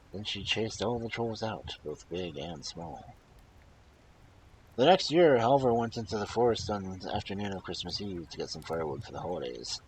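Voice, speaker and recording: Male storyteller, lower register, very expressive read-aloud with dramatic emphasis. Some noise in the recording. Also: poor audio. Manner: animated, dramatic pauses and emphasis. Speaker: storyteller and host of a live reading stream. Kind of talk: expressive folktale read-aloud with casual asides.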